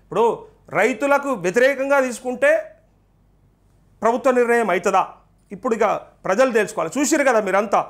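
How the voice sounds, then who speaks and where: A man's voice: high voice, a bit bright. A male journalist with a Telangana Telugu accent hosting live political commentary, pressing his points with repeated rhetorical questions. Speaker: journalist and TV host.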